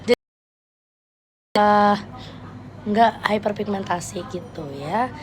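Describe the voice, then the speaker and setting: A young woman with a Jakarta Betawi slang accent chatting casually with viewers on a live stream, playful and quick to laugh. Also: mid-range voice. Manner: chatty, playful, laughs often, switches registers.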